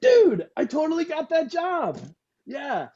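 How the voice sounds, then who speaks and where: A man's voice: very high-pitched, huge pitch swings, a little slow, a little mellow, heavily acted. A man playing a sweet, dim, lovesick young slacker with slangy, earnest, boastful talk in a comedy table read. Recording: dull, lo-fi video call sound.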